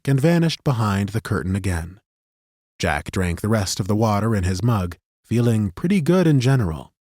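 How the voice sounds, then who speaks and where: A man narrating a fantasy audiobook in a steady, measured storytelling manner on a studio microphone. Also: mid-range voice, dry recording.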